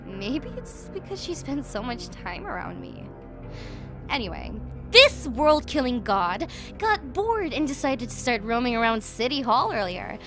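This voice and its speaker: A woman's voice: a little high, sing-song, a little slow, music in the background. A woman playing a playful, gleefully cruel world-killing goddess in an audio horror drama, theatrical and mocking. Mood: smug reminiscing. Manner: theatrical, mocking, giggly, swinging from sweet to venomous. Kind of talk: villain monologue in an audio horror series.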